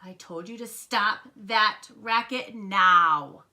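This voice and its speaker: A woman voicing an exasperated, stern frog father from a storybook, yelling and scolding. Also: mid-range voice, slow pace.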